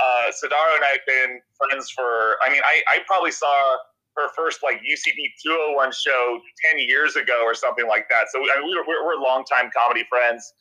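A man's voice: mid-range voice, pushed and bright, rough recording. A man in his thirties with a nasal voice, a TV comedy writer chatting as a podcast guest, restarting sentences often. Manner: halting, many restarts and fillers. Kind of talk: casual interview chat.

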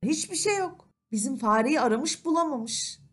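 A woman storyteller narrating a children's fairy tale in an animated, expressive manner. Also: high voice, moderate pace.

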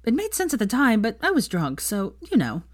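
A woman voicing a brash, excitable young woman who teases and gossips smugly in an audiobook-style story. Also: on the high side, a little fast, voice pushed up. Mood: excited, chatty. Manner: excitable, smug, foul-mouthed.

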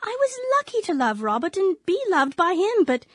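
An actress playing an affectionate widowed Victorian English aunt of thirty in a radio drama, refined and earnest. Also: female voice, very high voice, very animated, moderate pace, no room sound, clean studio sound. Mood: fondly advising. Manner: refined, earnest, fondly advising.

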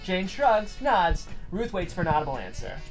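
Casting: female script narrator, low register, highly expressive intonation, live-read feel. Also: normal speed, soft background music, low-quality recording. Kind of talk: reading stage directions aloud at a table read. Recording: faint room noise behind voice.

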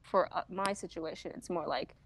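A young woman chatting casually as a podcast co-host, informal and a little halting. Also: lowish voice, fairly even intonation, slight background noise. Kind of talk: casual chat.

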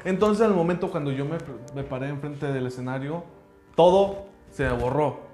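A man whose first language is English gives an earnest, emphatic motivational talk about his language school, speaking in halting phrases. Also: fairly high pitch, dark tone. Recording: roomy echo with faint music.

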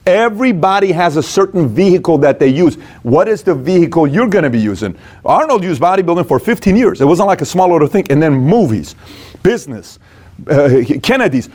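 A man with a slightly high voice delivers a motivational business talk. He speaks conversationally but with emphasis, breaking points into short punchy phrases. He often poses questions and then answers them himself. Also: darkish tone.

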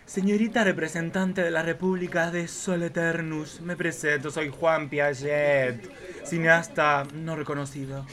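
A man's voice: on the high side, steady tone, measured pace, sharp tone. A man playing a courtly, lecherous B-movie filmmaker in a campy radio play, theatrical and gushing. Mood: formal, intrigued.

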